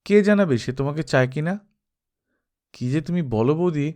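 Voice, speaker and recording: A man's voice: on the high side, brisk, dark tone, clear, resonant tone, close to an everyday voice. Man reading as a naive, bewildered young man. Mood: bewildered, questioning. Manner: earnest, questioning. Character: naive, bewildered young brother-in-law, earnest and curious. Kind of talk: dramatised audiobook reading.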